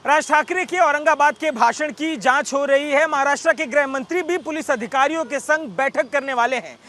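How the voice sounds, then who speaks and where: Male news narrator. Scripted read, extremely high and forceful, noisy backdrop. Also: a little fast. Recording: clear background noise.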